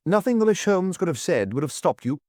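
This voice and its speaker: A man's voice: high voice, sing-song, fairly quick, a little mellow, no room sound, studio quality, voice pushed up. A man voicing a solemn examining magistrate in an audiobook, putting short, pointed, formal questions.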